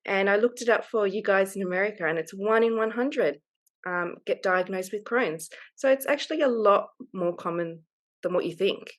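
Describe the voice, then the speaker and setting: A woman's voice: mid-range voice, moderate pace. A woman in her thirties with an Australian accent, speaking candidly and openly as an interview guest. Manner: candid, open, laughs easily. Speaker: guest author. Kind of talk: casual interview conversation.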